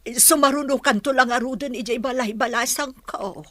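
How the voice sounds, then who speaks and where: A woman's voice: high-pitched, normal speed, fairly bright tone, no room sound. A woman acting a concerned older mother and grandmother in a radio drama, solicitous and sighing. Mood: gentle, sad.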